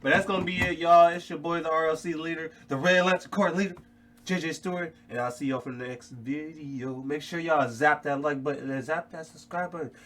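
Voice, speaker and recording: A man hosting a channel and chatting casually to his viewers, recorded quietly. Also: fairly high pitch, fairly even intonation, a little fast. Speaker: channel host. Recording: quiet, distant from the mic.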